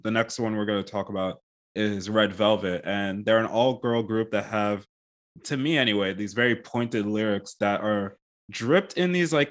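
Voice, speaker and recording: A man's voice: on the low side, a bit bright. A man hosting a podcast interview, asking thoughtful questions in a hesitant way, with many fillers. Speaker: podcast host. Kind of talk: casual interview chat.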